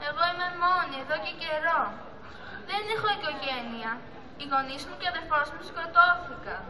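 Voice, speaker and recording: A woman's voice: very high voice, a crowd in the background. A teenage girl playing a small, lonely, anxious female sea turtle in an amateur school puppet play, with theatrical pleading. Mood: sorrowful. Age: young teenager. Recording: echoey hall recording with audience noise.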